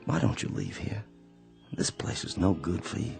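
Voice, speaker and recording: Weary soldier home from war, very deep voice, gravelly, soft-spoken radio drama. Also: male voice, wildly swooping pitch, normal speed, over music, exaggerated voice. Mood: concerned. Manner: soft-spoken, plain folksy talk. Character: gentle, weary man home from war, folk craftsman.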